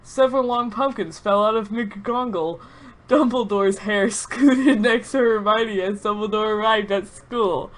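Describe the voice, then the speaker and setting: A woman's voice: mid-range voice, a little slow. A woman reading an absurd story aloud with mock-serious drama, often breaking into giggles.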